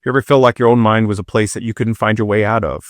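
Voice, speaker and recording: A man delivering a scripted personal monologue as a channel host, solemn and deliberate, with dramatic pauses between short phrases. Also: fairly low pitch, steady tone, dry recording, studio quality. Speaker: video channel creator and host.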